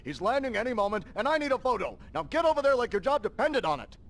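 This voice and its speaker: An irritable middle-aged male newspaper chief barks orders at breakneck speed. His pitch is high and lively, with a faint rumble behind him, in a video game scene. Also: heavily acted. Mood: irate, urgent. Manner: barking rapid-fire orders. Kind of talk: video game cutscene acting.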